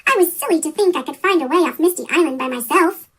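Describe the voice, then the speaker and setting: Reader doing a plucky polite little boy train, squeaky chipmunk effect, really high and lively. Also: fast. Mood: apologetic. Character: plucky, polite male little tank engine. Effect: sped-up chipmunk pitch shift.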